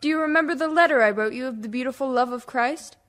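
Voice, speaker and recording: Lady doing a tender devout old-maid schoolteacher, pleading, highish voice, radio play. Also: fast talker, a bit bright. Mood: prayerful. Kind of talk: dramatic monologue for radio play.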